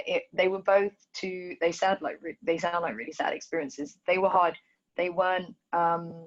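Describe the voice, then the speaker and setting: Low-voiced woman with a London accent. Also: muffled recording. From Ethiopia. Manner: thoughtful, hesitant with many ums and restarts. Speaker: dancer and choreographer. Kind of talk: reflective conversation. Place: video call from home.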